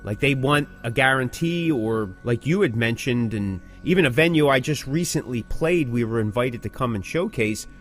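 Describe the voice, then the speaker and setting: A man's voice: medium pitch, animated, moderate pace, hardly any pauses, soft background music. A man co-hosting a podcast in casual chat, repeating phrases for emphasis. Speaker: podcast co-host.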